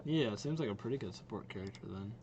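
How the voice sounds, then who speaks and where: A man's voice: lowish voice, expressive, quick pace, darkish tone, dull audio, slight background noise. A young man hosting a reaction video with casual, off-the-cuff commentary.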